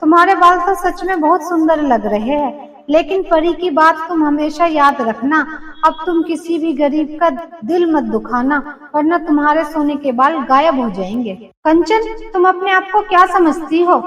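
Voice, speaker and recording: Very high, echoey cartoon fairy-tale heroine played by a woman. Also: normal speed. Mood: excited. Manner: theatrical, exclamatory. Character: young village women and kindly fairy in cartoon fairy tale. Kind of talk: Hindi cartoon story voice acting. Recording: echoey, distant-sounding voice track.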